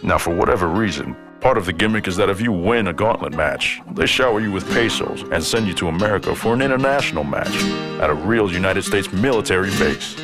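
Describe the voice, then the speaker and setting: A battered wrestler with a very low voice, narrating his own story in a video game. He sounds conversational and self-deprecating, wry yet determined, with music clearly playing behind him. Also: animated, a little fast. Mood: wry, hopeful. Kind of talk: first-person game cutscene narration.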